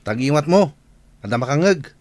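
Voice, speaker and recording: A man's voice: fairly high pitch, expressive, normal speed, darkish tone, no echo. A man playing a flippant, grumbling young husband in an Ilocano comedy radio drama. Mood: irritated.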